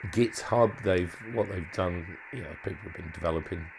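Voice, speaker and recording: Man, lowish voice, informal hobbyist walkthrough, hesitant and trailing off, noticeable noise behind the voice. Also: normal speed. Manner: hesitant, trailing off, thinking aloud. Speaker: hobbyist presenter demonstrating modified radio gear. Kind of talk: informal hands-on demonstration.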